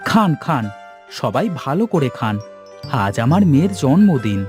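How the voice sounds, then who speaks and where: Man as an animated, caring cartoon village father. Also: medium pitch, normal speed, lightly acted. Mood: welcoming. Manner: tender, anxious. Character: poor, loving, worried village father of a girl. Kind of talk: cartoon character dialogue. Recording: music bed behind the voice.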